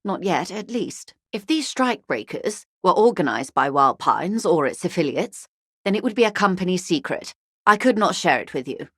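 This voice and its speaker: Wealthy female company negotiator, lowish voice, dry close recording, courteous, evasive, measured, video game dialogue. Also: sing-song, fairly bright tone, breathy edge, no echo, heavily acted. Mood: guarded, matter-of-fact. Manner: formal, measured, politely guarded.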